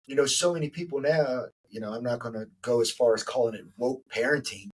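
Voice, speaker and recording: Forties male host, husky tone, loose reflective delivery, mic set a bit back. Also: medium pitch, fairly quick, distant mic. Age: 40s. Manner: reflective, rambling with many fillers. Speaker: podcast host. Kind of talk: casual interview conversation.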